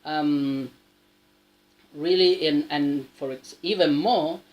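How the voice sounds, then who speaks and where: Slow, halting man. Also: on the high side, rough recording. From South America. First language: Spanish. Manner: halting, with many restarts and a frequent tag question. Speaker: English learner sharing advice. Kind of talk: casual spoken monologue.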